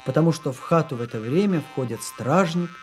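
A man's voice: on the high side, animated, fairly quick, soft background music. A man giving scripted narration as the narrator of a radio play. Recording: 1980s radio drama recording.

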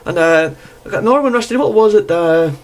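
A high-pitched man chats casually over amateur radio. He talks like a hobby operator and keeps dropping "there" at the ends of his phrases. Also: animated, quick pace. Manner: repeatedly tags phrases with "there". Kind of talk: casual radio contact chat.

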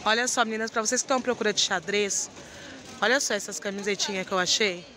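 Brazilian woman vlog host, bright selling patter, noisy street. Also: mid-range voice, quick pace. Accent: Brazilian Portuguese. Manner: enthusiastic sales patter. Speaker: vlog host showing market clothes. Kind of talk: lively shopping vlog. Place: busy night street market outdoors. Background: street and traffic noise.

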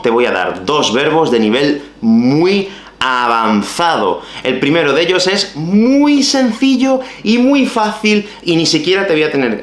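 Man, 30s, Spain Spanish accent, expressive language teacher, mid-pitched, roomy home recording. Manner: lively, expressive, playful asides. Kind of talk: teaching Spanish vocabulary to camera. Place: small bright room at home.

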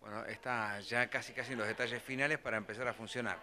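A man's voice: medium pitch, steady tone, quick pace, pushed and bright, slight background noise. A man with an Argentine accent interviewing conversationally for local TV news. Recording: echoey hall, voices in background.

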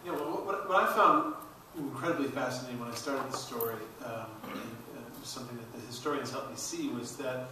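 Middle aged guy explaining stuff on a panel, sharp bright voice, recorded far off in a boomy hall. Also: a little fast, slight background noise. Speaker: documentary filmmaker on a panel. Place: large auditorium stage.

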